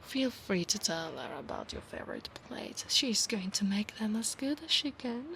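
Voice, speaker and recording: A woman with an Italian accent voicing an affectionate, possessive centaur knight in a soft ASMR roleplay, often slipping into breathy whispers. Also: medium pitch, big pitch swings, moderate pace, a little hiss or hum. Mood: chatty, teasing. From Italy.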